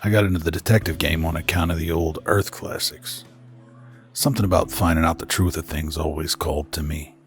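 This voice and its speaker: A man's voice: extremely low pitch, fairly even intonation, fairly quick. A man playing a world-weary space detective in a radio drama, wry and laid-back in a noir way. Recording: music behind the voice.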